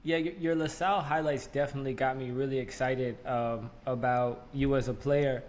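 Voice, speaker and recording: A man hosts a relaxed interview and asks friendly questions at an unhurried pace. He pauses and restarts now and then as he talks. His pitch is ordinary, set in the middle of the range. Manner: friendly, easygoing questions with hesitations. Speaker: interview host. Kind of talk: casual interview chat.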